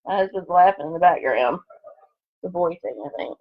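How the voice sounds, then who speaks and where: A woman's voice: fairly low pitch, moderate pace. A woman, a second grade teacher, reading aloud to children online with chatty asides, in a lo-fi home recording. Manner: chatty asides between expressively read story lines.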